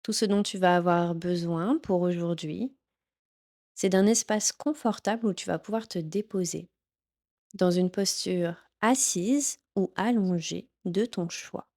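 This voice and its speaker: A woman teaching yoga nidra, leading a guided relaxation in a gentle, soothing, instructional manner. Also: fairly low pitch, measured pace. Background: background music.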